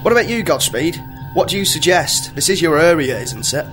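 Man playing a highish, brash starship captain. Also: expressive, a little fast, a bit bright, faint music behind. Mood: bossy. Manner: bossy, flippant. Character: brash, sarcastic male spaceship captain. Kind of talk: comedy sci-fi radio play acting.

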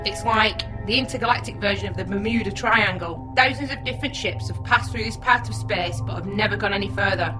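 A woman's voice: mid-range voice, natural voice, poor audio. A woman playing a sassy, logical female ship's computer in a comedy radio play, sarcastic and condescending. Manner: sarcastic, condescending, matter-of-fact.

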